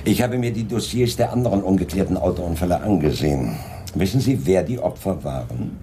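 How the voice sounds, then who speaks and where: A composed, persistent inspector in a radio crime drama. The voice is very low yet varied in melody, with measured, courteous phrasing. There is clear noise in the recording. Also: male voice, lively intonation, dark tone. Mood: serious, insistent. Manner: measured, politely insistent, deliberate. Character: dry, composed, persistent male Scotland Yard inspector. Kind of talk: 1980s German radio play acting.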